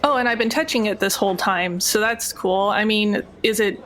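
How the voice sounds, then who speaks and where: A woman's voice: mid-range voice, moderate pace, fairly bright tone, natural voice. A woman playing a sarcastic, defiant burglar in a tabletop game, with a dry, sardonic delivery. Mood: sarcastic, indignant. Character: sarcastic, foul-mouthed burglar, defiant and wry.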